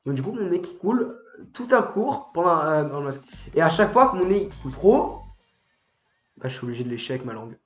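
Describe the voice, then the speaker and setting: A man's voice: a little high, very animated, fairly quick. A teenage boy with a cold and a stuffy nose, rambling and swearing as he chats on a gaming stream. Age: teen. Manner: rambling, swears freely, bursts into angry shouting at the game. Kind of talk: casual gaming stream chat. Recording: roomy echo, dull lo-fi sound, faint music.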